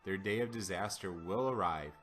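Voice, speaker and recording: A man's voice: low voice, moderate pace, a bit bright, soft background music. A man voicing a mighty, wrathful God in a scripture reading, commanding and declamatory as he proclaims vengeance.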